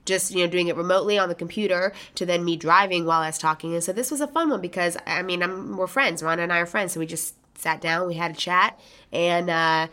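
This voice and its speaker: A woman's voice: on the low side, quick pace, sharp tone. A woman hosting a podcast, talking in a casual conversational style. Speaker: podcast host. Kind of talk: casual chat and interviewing.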